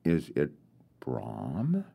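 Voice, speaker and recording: A man voicing a flustered fairy-tale queen, hushed and tentative, in a storybook reading. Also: a bass voice, huge pitch swings, extremely slow, vocal fry, slightly rough, voice pushed down, exaggerated voice. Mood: tense, tentative. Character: flustered fairy-tale queen, a woman making her last guesses.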